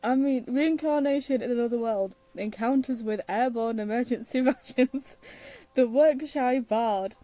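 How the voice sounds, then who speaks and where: A woman plays a game and comments casually. Her voice is a little high with a mellow, relaxed tone and a wry way of muttering asides. Faint music plays behind her. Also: measured pace. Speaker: game streamer.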